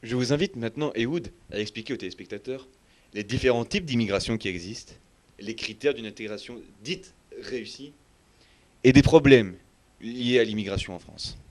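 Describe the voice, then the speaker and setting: A man's voice: medium pitch, normal speed. A man presenting a student news programme as anchor, in a formal scripted style.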